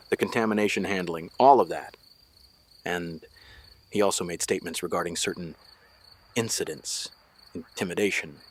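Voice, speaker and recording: A tired, guarded male small-town cop in a noir drama. His voice is on the low side and he speaks in clipped, official phrases with understated delivery. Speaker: police officer. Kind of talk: scripted noir audio drama dialogue.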